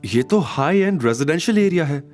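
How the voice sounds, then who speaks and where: A man's voice: a little high, animated, moderate pace, a little mellow, faint music behind, voice pushed up, natural voice. A man voicing a timid young woman. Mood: worried. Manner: hesitant, pleading. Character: anxious young woman, meek and unsure.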